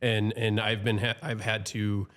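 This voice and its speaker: A man in his thirties with a fairly low voice reflects on his firefighting work. He often restarts his sentences as he thinks aloud. It is relaxed podcast talk. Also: a little slow. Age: 30s. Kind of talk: casual podcast conversation.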